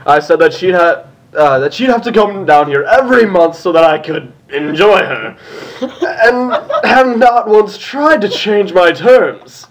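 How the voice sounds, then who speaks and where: A man's voice: high voice, animated, a little slow, low-quality recording. A man voicing a smug, boastful shapeshifting horse villain in a fan fiction reading. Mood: taunting, boastful. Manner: boastful, self-justifying.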